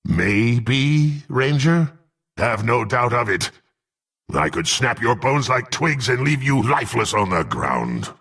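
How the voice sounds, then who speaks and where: Gravelly, menacing half-orc warrior. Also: male voice, mid-range voice, sing-song, slow pace, fairly bright tone, heavily acted. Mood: dry, threatening. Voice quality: gruff, gravelly. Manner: haughty, threatening, formal archaic speech. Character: menacing proud half-orc warrior sworn to dark patron. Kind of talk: dramatic fantasy game voice acting.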